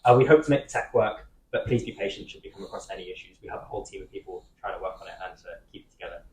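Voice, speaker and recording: A young man moderating a student debate, explaining the format quickly with some fillers. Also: low-pitched, echoey room, noisy background. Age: young adult. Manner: quick, slightly halting with fillers.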